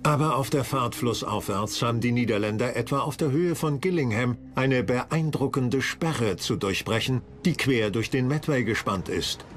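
A man's voice: mid-range voice, normal speed, hardly any pauses. Male documentary narrator: scripted history read, mid-range, light music underneath.

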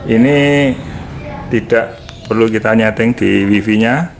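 A man's voice: lowish voice, measured pace, gravelly. A man giving a casual hands-on product demonstration, repeating short phrases as he goes. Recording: noticeable background noise.

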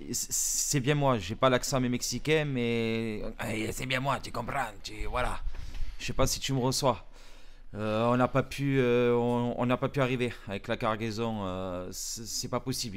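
A man's voice: mid-range voice, clear, resonant tone, close to an everyday voice. Man doing a scared young criminal in a game roleplay, swears, stutters and whispers, mid voice. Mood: panicked pleading. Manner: swearing constantly, stammering, often hushed whispers. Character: panicked young male smuggler hiding from police. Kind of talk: improvised roleplay acting.

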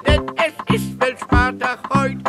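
An actor playing a cheerful, pushy folk schlager entertainer in a radio comedy sketch. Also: male voice, very high-pitched, little pitch movement, slow pace, loud music behind the voice. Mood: jolly, rallying. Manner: jolly, rallying, defiant. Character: pushy, cheerful entertainer singing folksy schlager at home organ.

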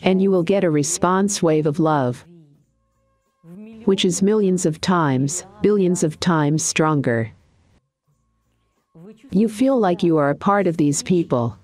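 A woman with a low voice narrates a guided meditation. She speaks calmly and deliberately, giving soothing instructions in short phrases with pauses. Soft background music sits faintly beneath her.

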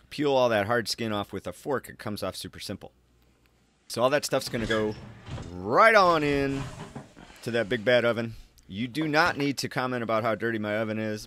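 A man's voice: medium pitch, fairly bright tone, slight background noise. A man in his forties chatting to the camera in a loose, self-mocking way while cooking in his kitchen and out riding his bike. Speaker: cycling vlogger. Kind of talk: casual vlog, cooking and riding. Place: home kitchen, then outdoors on a road bike. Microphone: action camera on the bike or held close. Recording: action-camera audio with music underneath.

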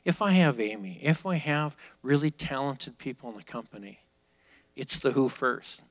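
A man's voice: mid-range voice, animated, normal speed. A man in his fifties, a company executive, talking candidly and off the cuff into a handheld mic from a seated spot on an auditorium stage. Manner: candid, off-the-cuff, with frequent restarts. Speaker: company executive speaking at a business school event. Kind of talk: conversational onstage Q&A.

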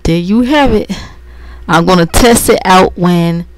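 A woman vlogger giving a casual software demo, rambling and thinking aloud. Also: fairly low pitch, measured pace, background noise. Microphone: computer microphone.

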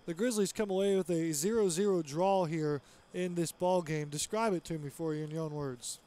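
A man in his thirties hosting a postgame interview with brisk broadcaster delivery, on a headset mic in a small studio. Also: on the high side, steady tone, dark tone. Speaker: sports network host. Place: small studio with green backdrop.

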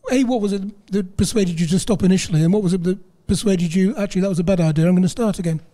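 A man's voice: sits high, quick pace, dark tone. A man interviewing a guest at a panel table in an indoor club room, asking rambling questions that restart, into a handheld mic. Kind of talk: casual interview. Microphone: handheld microphone.

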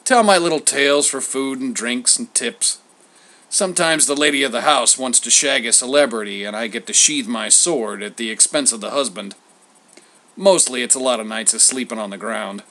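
A man's voice: mid-range voice, normal speed, sharp tone, lightly acted. A man playing a blunt, sardonic travelling poet, wry and sneering, in a comic story. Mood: wry, chuckling. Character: Homer, sardonic blind travelling poet, gruffly familiar.

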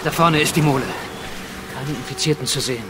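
An actor playing a weary, sardonic survivor in a radio drama, wry and matter-of-fact. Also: male voice, fairly high pitch, brisk, over loud music, lightly acted. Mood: grim. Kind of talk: German radio drama acting. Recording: echoey with noisy ambience.

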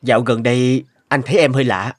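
An actor voicing a courteous, devoted rich young boss and boyfriend in a cartoon drama, affectionate and earnest. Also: male voice, medium pitch, fairly even intonation, quick pace, dry recording, close to an everyday voice. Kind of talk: animated drama dubbing. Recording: music clearly under the voice.

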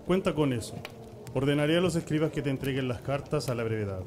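An authoritative high priest acted by a man in a religious radio drama. He is measured and thoughtful, approving in tone. The voice is mid-pitched, with clear noise behind it. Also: a little mellow, lightly acted. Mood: measured approval. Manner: measured, pondering, with thoughtful hums.

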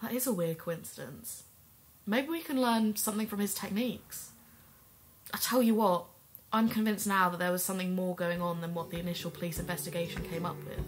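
A woman's voice: fairly low pitch, fairly quick, lightly acted. A woman playing a thoughtful sleuthing companion in audio drama dialogue, musing and supportive.

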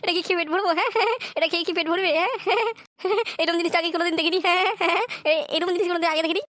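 A man's voice: very high-pitched, fairly even intonation, racing, pushed and bright, dry recording, studio quality. A man voicing a hungry, simple-minded village madman who begs pitifully and repeats himself in a comedy cartoon. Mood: puzzled, excited. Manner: repetitive, pleading. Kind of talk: crude adult comedy cartoon dubbing.

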